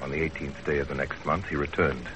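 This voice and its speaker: An actor playing an earnest young husband in a 1930s radio drama, speaking gravely and deliberately. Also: male voice, extremely low pitch, fairly even intonation, over music. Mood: grave, wistful. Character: earnest young husband narrating his love story. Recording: noisy 1930s radio broadcast.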